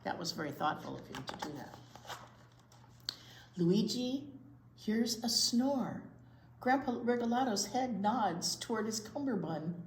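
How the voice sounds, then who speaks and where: An American woman reading aloud at a storytime for young children, expressive, with drawn-out words and chatty asides. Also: fairly high pitch. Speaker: children's librarian and storytime reader. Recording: roomy echo, faint background noise.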